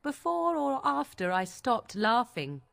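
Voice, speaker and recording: A woman's voice: on the high side, sing-song, measured pace, gravelly, fairly bright tone, heavily acted. An actress playing a haughty young witch in a fantasy game, coldly sardonic, with arch, precise diction. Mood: scornful.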